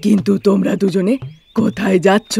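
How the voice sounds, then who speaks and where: A woman's voice: medium pitch, level tone, normal speed, creaky, dark tone, husky, soft background music, exaggerated voice. Woman playing an exasperated, grumbling mother-in-law. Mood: puzzled. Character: exasperated middle-aged mother-in-law, grumbling but dutiful. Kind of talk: cartoon story acting.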